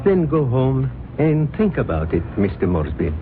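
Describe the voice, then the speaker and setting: Guy doing a calm guru type, measured and serene, tinny old radio sound w/ music. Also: medium pitch, lightly acted. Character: calm serene male temple guru, middle-aged. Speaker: spiritual teacher. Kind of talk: 1970s radio drama acting.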